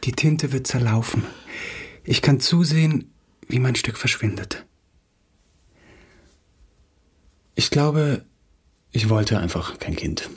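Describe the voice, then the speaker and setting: A brooding, agitated young playwright in a radio play. His voice is very husky and medium in pitch. He stammers and repeats himself, halting as he goes. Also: expressive. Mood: bitter, desperate. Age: young adult.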